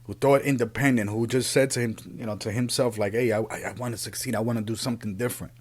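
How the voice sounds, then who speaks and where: A man, born in Puerto Rico and raised in the Bronx, giving an earnest, unscripted opinion monologue as a podcast host, often halting and repeating himself. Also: mid-range voice, fairly even intonation, a little mellow. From Puerto Rico, raised in the Bronx, New York City.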